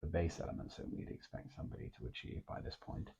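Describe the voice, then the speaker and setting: A British man playing a composed, formal intelligence officer in a hushed, measured ASMR interview role play, with a breathy voice and muffled recording. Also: noisy background, close to an everyday voice. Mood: measured approval. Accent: British English. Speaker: ASMR performer.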